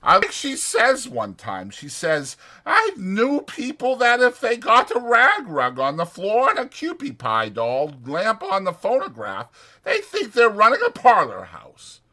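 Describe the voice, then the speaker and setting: Man acting excitable high-pitched young ranch hand Whit. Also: a little slow, hardly any pauses, full character voice. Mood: enthusiastic. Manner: folksy, slangy, ungrammatical drawl.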